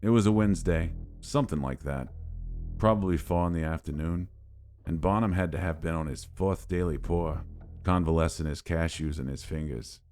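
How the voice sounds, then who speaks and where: A man's voice: low voice, moderate pace, darkish tone, soft background music. A man reading a scripted first-person horror story as narrator, in a wry, world-weary storytelling manner.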